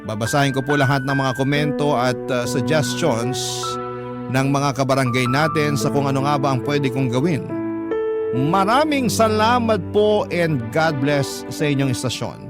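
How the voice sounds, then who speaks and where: A man's voice: mid-range voice, moderate pace. A man reading a listener's letter as a radio host, in a dramatic storytelling style. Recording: loud music bed behind the voice. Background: loud background music.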